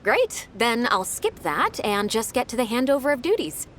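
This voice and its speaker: A lively, diligent young secretary. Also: female voice, fairly high pitch, a little hiss or hum. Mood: brisk, earnest. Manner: brisk, businesslike, polite, explaining methodically. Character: diligent, eager young female secretary to a wealthy lady. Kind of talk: video game voice acting.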